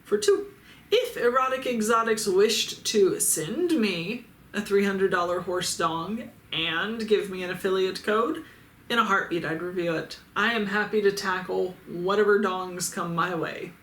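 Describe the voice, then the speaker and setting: A woman's voice: mid-range voice, measured pace, fairly bright tone. A Midwestern woman reading viewer comments aloud in a casual vlog, blunt, sarcastic and freely swearing. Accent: Midwestern American. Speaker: adult toy reviewer and YouTuber. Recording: roomy echo with faint ambience.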